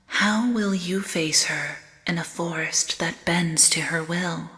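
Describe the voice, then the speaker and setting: A woman playing a living doll guide in a gothic audio drama, soft, solemn and measured with an uneasy undertone. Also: fairly low pitch. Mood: calm warning. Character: calm, eerie living doll guide, female. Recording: long ghostly reverb.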